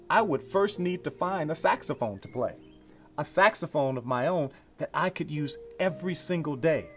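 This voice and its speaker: An American man presenting a children's read-along storybook, encouraging and emphatic as he invites listeners to join in. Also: a little high. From Louisiana, USA.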